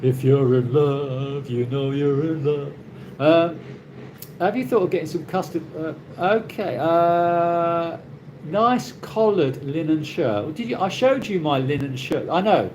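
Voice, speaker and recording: A British man hosting a casual live chat, reading out viewer comments and answering in a rambling, halting way with many restarts. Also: a little high, a little mellow. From Britain. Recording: noisy ambient background.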